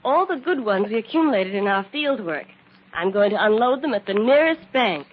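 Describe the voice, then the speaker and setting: An actress playing a bright, inquisitive detective's assistant in a 1940s radio drama. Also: female voice, fairly high pitch, very animated, fairly quick. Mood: curious. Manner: inquisitive, conversational. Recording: dull, muffled vintage radio recording.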